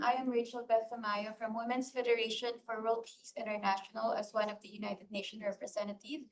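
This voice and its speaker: A woman who represents a women's organization at the UN, introducing herself in a formal, even way. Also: a little high, distant mic, rough recording. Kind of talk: panel self-introduction.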